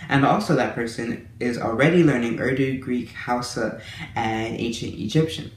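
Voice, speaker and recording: A man's voice: mid-range voice, moderate pace. A man giving a casual monthly language-learning update to his viewers, talking in a friendly, chatty way with small restarts. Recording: roomy echo with faint room noise.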